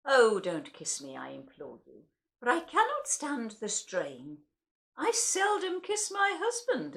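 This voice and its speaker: A woman's voice: a little high, fairly bright tone. A woman reading poetry aloud in a theatrical, wryly comic way, as the host of a recital. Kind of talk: expressive poetry recital with casual introductions.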